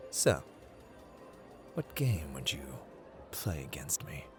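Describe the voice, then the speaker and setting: A man's voice: big pitch swings, takes it slowly, over music, exaggerated voice. A man playing a playful, haughty god of luck in an ASMR roleplay, theatrical and teasing, drawling his words as he addresses the listener. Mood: grand, challenging. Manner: theatrical, teasing, drawling, swinging between hushed and booming.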